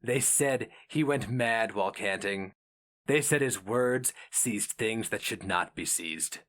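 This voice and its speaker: Slow, low-voiced man narrating solemn fantasy. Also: a bit bright. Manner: solemn, theatrical, deliberate. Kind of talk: dramatic reading of a fantasy story.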